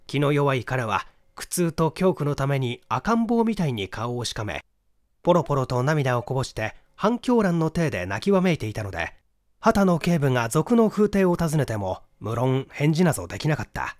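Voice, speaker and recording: A man narrating a mystery story from a script in an expressive storytelling manner. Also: on the high side, normal speed, no echo, studio quality. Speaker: audiobook narrator, stage actor.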